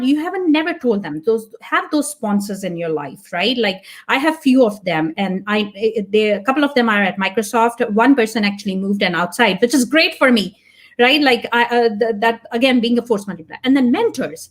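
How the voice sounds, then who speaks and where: Earnest, slightly high-voiced woman guest. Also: lively intonation, moderate pace. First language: Punjabi. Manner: earnest, reflective, thinks aloud. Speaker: podcast guest. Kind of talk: casual interview talk.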